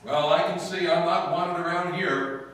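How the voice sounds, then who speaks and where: A man's voice: on the high side, fairly even intonation, measured pace, fairly bright tone. A man playing a wry, teasing husband who narrates in an old-time radio comedy, on stage in a hall. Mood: dry, wry. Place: stage in a hall before a seated audience. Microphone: far camera. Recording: very echoey room, distant camera microphone.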